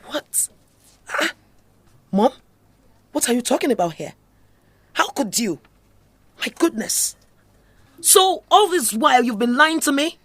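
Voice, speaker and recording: A woman's voice: sits high, very animated, unhurried, long pauses, fairly bright tone, no echo, heavily acted. A young woman playing an emotional, incredulous Nigerian student daughter in an audio drama. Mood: shocked, hurt.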